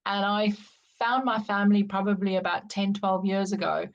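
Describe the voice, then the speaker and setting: A middle-aged woman with a South African accent speaks as a podcast guest. She talks candidly about her own life in a reflective way, at an ordinary pitch. The audio is a little dull and lo-fi, like a remote call. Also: steady tone, normal speed. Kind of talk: personal life-story interview.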